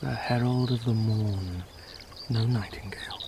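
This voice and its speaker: Young romantic lover, slow creaky male voice, shakespeare, birds and insects behind. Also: fairly low pitch, fairly even intonation. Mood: tender, resigned. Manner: measured, poetic delivery. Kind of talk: radio Shakespeare drama. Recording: clear outdoor noise with birds and insects.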